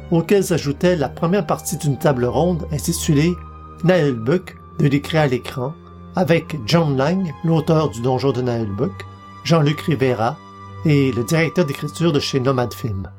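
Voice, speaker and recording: A man narrates a podcast from a script at a mid-range pitch. His delivery is measured and formal, as a host presenting a programme. Music plays softly behind his voice.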